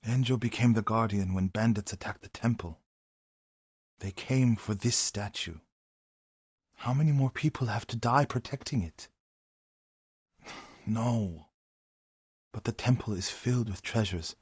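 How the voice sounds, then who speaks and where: An actor playing an earnest, devout warrior monk in a video game, solemn and formal, loyal and carrying grief, with a husky voice. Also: male voice, lowish voice, a little slow, long pauses, a little mellow, no room sound, clean studio sound. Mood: solemn, reflective. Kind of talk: video game voice acting, dramatic dialogue.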